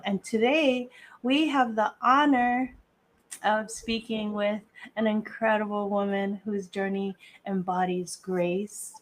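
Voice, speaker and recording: Host, woman from Hawaii, slow and deliberate interview delivery. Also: mid-range voice. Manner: measured, deliberate delivery with a scripted-sounding welcome. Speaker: podcast host. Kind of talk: live podcast interview hosting.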